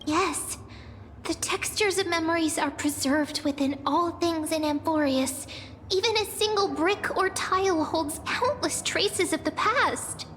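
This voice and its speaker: Mystical young girl, game drama. Very high voice, gentle, formal, unhurried. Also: a little hiss or hum. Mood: wistful. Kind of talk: dramatic video game voice acting.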